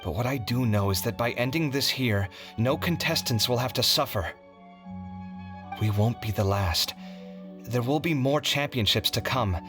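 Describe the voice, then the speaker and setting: An actor playing an idealistic, proud young scholar in a video game, speaking with earnest, dramatic emotion over music. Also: male voice, mid-range voice, natural voice. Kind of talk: English video game voice acting. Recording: background music under the voice.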